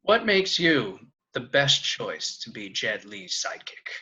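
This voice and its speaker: An actor playing a wise, solemn kung fu master with measured delivery in a sitcom table read. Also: male voice, medium pitch, big pitch swings, bright, forceful tone, lo-fi sound, exaggerated voice. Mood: solemn, mysterious. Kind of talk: sitcom table read over video call.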